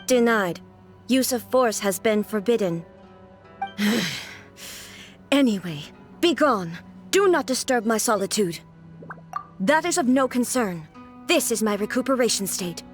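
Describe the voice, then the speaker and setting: A woman's voice: fairly high pitch, expressive, unhurried, pauses often, faint music behind. A woman playing a stern, emotionless puppet ruler in a video game, speaking in a formal, detached, clipped way. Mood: cold, curt.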